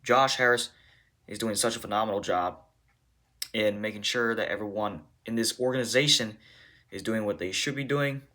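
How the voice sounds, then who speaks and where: A man with a fairly low, bright and forceful voice speaks as a football coach fielding press questions. He phrases things deliberately, in short halting bursts. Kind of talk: press conference answers.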